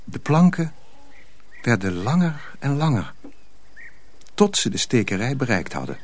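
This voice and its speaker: A man's voice: medium pitch, big pitch swings, a little slow. A man narrating a radio play in scripted storybook style.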